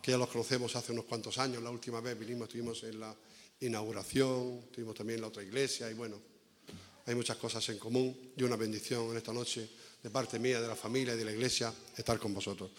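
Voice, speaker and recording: A man's voice: medium pitch, little pitch movement, quick pace, slight background noise. A man giving a conversational evangelical sermon as a visiting preacher, repeating phrases for emphasis and asking rhetorical questions. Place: inside a church. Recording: echoey church hall reverb.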